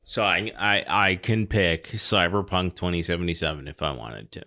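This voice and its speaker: A man in a casual podcast discussion, talking in a thoughtful, discursive way with halting phrasing. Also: low voice, sharp tone. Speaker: podcast co-commentator.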